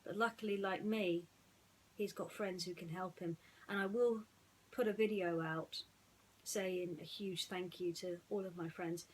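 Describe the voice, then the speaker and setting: A woman speaking in an earnest, slightly halting way, as a storyteller chatting and reading to children. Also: on the low side, fairly even intonation, slight background noise, poor audio. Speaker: storyteller reading bedtime stories.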